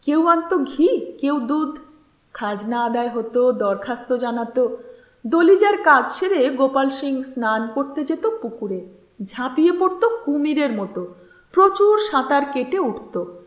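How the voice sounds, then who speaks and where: High-voiced woman narrating slowly in echo. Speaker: audiobook narrator and storyteller. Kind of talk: expressive literary story narration. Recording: roomy, echoing voice recording.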